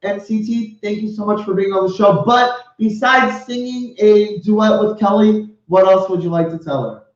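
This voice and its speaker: Effusive higher-voiced man host, echoey. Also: moderate pace, hardly any pauses. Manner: effusive, swears, laughs a lot. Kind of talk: casual live show chat. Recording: echoey lo-fi stream audio.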